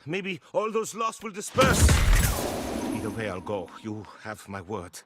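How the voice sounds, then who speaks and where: An actor playing a plain-spoken, honest miner in a video game, with a hearty, folksy manner. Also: male voice, fairly low pitch, wildly swooping pitch, measured pace, heavy background noise. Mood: resolute. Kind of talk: video game character dialogue.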